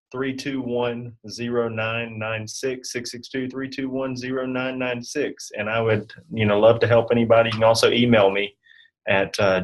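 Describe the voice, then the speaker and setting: A man's voice: mid-range voice, rough recording. A man chatting casually as an interview guest. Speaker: guest insurance agent.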